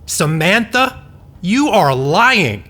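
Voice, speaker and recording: Excitable, swooping man playing an indignant grandfather. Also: high voice, extremely slow, heavily acted. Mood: incredulous. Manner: incredulous, dramatic outbursts. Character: excitable, indignant grandfather, older male scientist. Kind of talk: audio drama acting.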